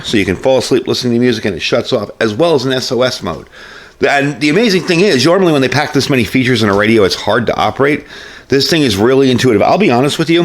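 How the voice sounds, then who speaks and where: A man hosting a casual product review vlog, explaining things in a conversational, folksy way. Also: mid-range voice.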